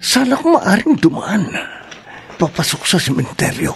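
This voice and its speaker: A man's voice: high-pitched, sing-song, normal speed, vocal fry, fairly bright tone, heavily acted. A man playing a suspicious, determined man who earnestly thinks aloud in a radio drama. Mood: brooding resolve.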